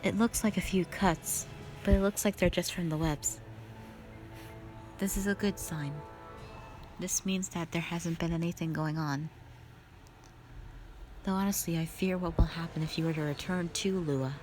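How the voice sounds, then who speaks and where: A woman playing a wistful, scheming yet playful witch sister in an audio roleplay, speaking softly and reflectively to the listener. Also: fairly low pitch, fairly even intonation, moderate pace, pauses often, soft background music, natural voice. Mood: grave, worried.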